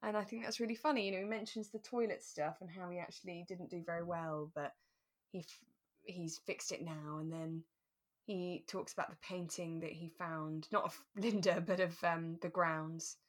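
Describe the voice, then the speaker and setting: A woman's voice: fairly low pitch, steady tone, moderate pace. A British woman co-hosting a podcast in casual, chatty conversation, sometimes acting out short quotes. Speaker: podcast co-host. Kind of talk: casual chat about a radio drama.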